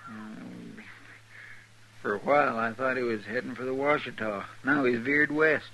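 An actor playing a loyal, excitable frontier sidekick in a 1950s radio western, folksy, with a rural American accent. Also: male voice, medium pitch, unhurried, vocal fry, exaggerated voice. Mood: puzzled, chatty. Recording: noisy old radio recording.

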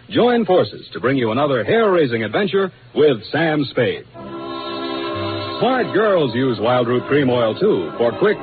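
A male announcer in the style of 1940s radio, lively and swinging in pitch. The broadcast sounds thin and phone-like, with faint music.

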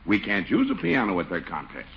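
A man's voice: fairly low pitch, wildly swooping pitch, brisk, vocal fry, slight background noise, full character voice. An actor playing a jovial, reasonable male club chief in 1940s radio comedy. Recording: muffled old radio broadcast.

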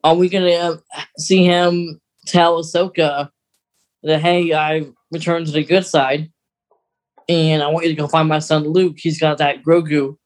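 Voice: mid-range voice, little pitch movement, measured pace. A podcast host talking through fan news in a casual, conversational chat.

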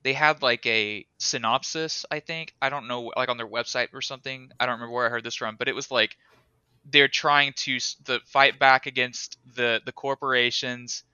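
A man co-hosting a podcast in casual chat, rambling and animated, laughing often. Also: medium pitch, normal speed, clean tone, no room sound, dull audio. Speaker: podcast co-host.

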